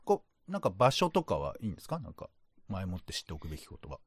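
Lowish, lively-voiced male co-host. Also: fast talker, breathy edge, no echo, studio quality. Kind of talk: casual chat.

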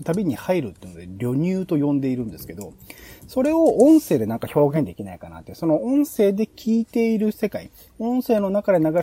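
A man's voice: fairly high pitch, dark tone, breathy edge. A man hosting a podcast, explaining ideas casually and thinking aloud in long, loosely linked sentences. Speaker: podcast host. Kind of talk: casual explanatory monologue pitching show ideas.

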